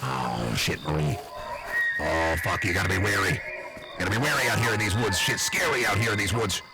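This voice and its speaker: A man's voice: low-pitched, moderate pace, over loud music. A man voicing a blustery, nervous backwoods uncle with an American accent, rambling and swearing in an improvised comic monologue. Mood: agitated, wary. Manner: rambling, folksy, profane, excitable.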